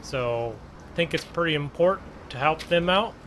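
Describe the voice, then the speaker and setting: A man giving casual role-play gameplay commentary in a halting way, musing aloud to himself. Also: on the high side, animated, fairly bright tone. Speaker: gaming channel host. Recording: clear engine and vehicle noise behind. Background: game vehicle engine sounds.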